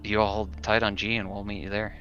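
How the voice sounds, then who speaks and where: A man's voice: on the low side, level tone, brisk, sharp tone, soft background music. A man playing a tabletop roleplaying game, talking casually in a tentative, hedging way. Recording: dull, faintly noisy room recording.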